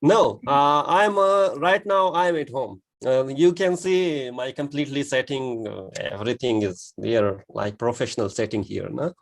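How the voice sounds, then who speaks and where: A man's voice: medium pitch, a little slow, creaky, few pauses. A man from Pakistan with a Pakistani accent, chatting on a video call from home, laughing often and hesitating with many uhs. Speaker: co-host and guest. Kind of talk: casual chat on a video call. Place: his home.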